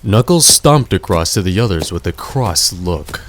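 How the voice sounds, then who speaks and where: A man narrating a scripted adventure story in a measured, dramatic storybook manner. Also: deep voice, lively intonation, slight background noise.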